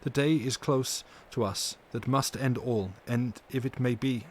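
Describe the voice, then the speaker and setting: A man's voice: mid-range voice, a little slow, lightly acted. A man acts out a grave older professor in a dramatic audiobook. He is urgent and earnest, with stiltedly formal phrasing. Faint noise runs behind the voice. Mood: grave urgency. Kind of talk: dramatic audiobook character reading. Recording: steady background noise.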